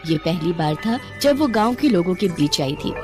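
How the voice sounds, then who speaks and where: A woman's voice: lowish voice, expressive, moderate pace. A woman storyteller giving scripted narration of a children's bedtime story. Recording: music behind the voice.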